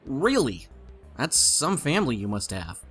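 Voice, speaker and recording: Male actor as nerdy pony stallion, gushing fanboy, very animated pitch, sharp bright tone, audio play. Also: measured pace, slightly rough, soft background music, full character voice. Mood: enthusiastic. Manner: gushing, talkative, opinionated. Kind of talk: audio play character acting.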